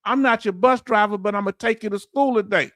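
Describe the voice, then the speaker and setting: A man in his late fifties whose voice sits high for a man, heard dry and close with no room sound. He teaches an online audience in relaxed, folksy talk. He keeps asking viewers the same questions until they answer. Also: a little fast, no echo. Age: 50s. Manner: quizzes viewers with repeated questions. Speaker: veteran benefits streamer. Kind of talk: livestream lesson answering chat.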